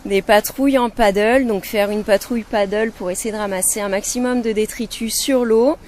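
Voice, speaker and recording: A woman in her thirties from Brittany, a lifeguard and volunteer, answering interview questions in a relaxed, hesitant way. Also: medium pitch, moderate pace. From Brittany, France. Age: 30s.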